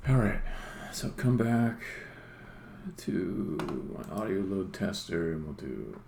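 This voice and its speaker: A man's voice: low-pitched, lively intonation, takes it slowly, gravelly, darkish tone. A man doing casual live-coding commentary as a game developer on a stream, muttering to himself in short, informal remarks as he thinks aloud. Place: indoor room at a computer. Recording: roomy echo, faint background noise, keyboard typing.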